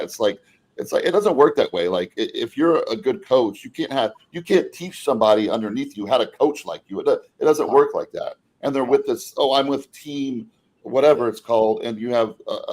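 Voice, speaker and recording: A man's voice: medium pitch, darkish tone. A man in his forties hosting a casual podcast chat, talking bluntly and rambling, with swearing. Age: 40s. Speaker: podcast host.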